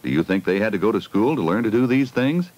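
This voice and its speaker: A man's voice: on the low side, lively intonation, fast talker, darkish tone, lo-fi sound. A man reading a scripted educational film narration for children, putting simple questions to them, on a 1980 film soundtrack.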